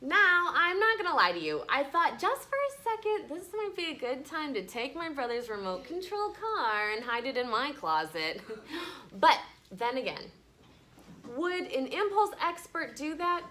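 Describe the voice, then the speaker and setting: A woman teacher reading a story to children and discussing it with them in an animated, conversational way, often checking in with questions. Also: high-pitched, a little slow. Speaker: elementary school teacher. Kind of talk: children's story read-aloud with class discussion. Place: classroom with children.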